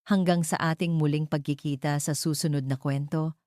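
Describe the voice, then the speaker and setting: Woman, scripted romance narrator, deep-set pitch, dry close sound, earnest, sentimental storybook phrasing. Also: steady tone, normal speed, darkish tone, no room sound. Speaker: storyteller and channel host.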